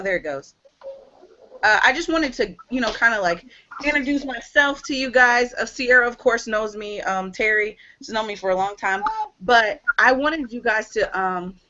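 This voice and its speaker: A woman leading a live sales training on a video call, energetic and chatty with many fillers. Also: on the high side, lo-fi sound, a little hiss or hum. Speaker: team leader hosting a sales training call.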